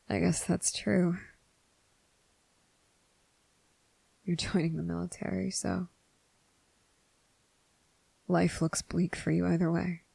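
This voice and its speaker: Casting: male actor as gentle, tormented young vampire man for ASMR romance drama; heavy fry, husky, hesitant delivery. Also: high voice, little pitch movement, no room sound, heavily acted, low-quality recording. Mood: resigned, tender. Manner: hesitant, halting, breathy, hushed. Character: anguished gentle young vampire man confessing secret love.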